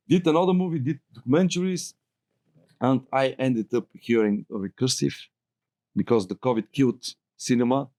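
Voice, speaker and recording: A man's voice: mid-range voice, mellow. A middle-aged man with a Bulgarian accent, a guest filmmaker telling his story in a casual roundtable chat, rambling and laughing often.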